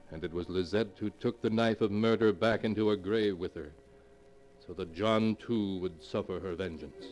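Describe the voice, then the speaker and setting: An actor playing a theatrical, portentous teller of sinister tales, hosting a 1940s radio horror show. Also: male voice, low voice, darkish tone, close to an everyday voice. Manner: dramatic, portentous. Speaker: narrator and host of horror anthology. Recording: vintage 1940s radio broadcast.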